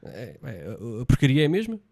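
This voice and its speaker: A man's voice: medium pitch, wildly swooping pitch, fast, creaky, a little mellow, no room sound, clean studio sound. A man with a European Portuguese accent co-hosting a casual political chat, blunt and scornful, often repeating himself. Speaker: podcast co-host.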